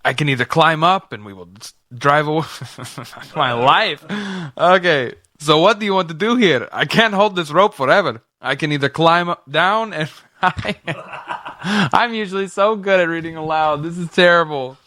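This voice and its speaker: A woman's voice: low-pitched, lively intonation, normal speed, fairly bright tone. A woman performer reading drama lines and chatting between takes. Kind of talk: acted script lines in outtakes.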